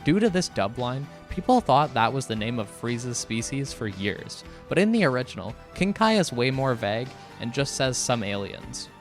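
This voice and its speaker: A man's voice: medium pitch, big pitch swings, normal speed, few pauses. A man delivering scripted video essay narration in a critical, wry manner. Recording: music behind the voice. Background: background music.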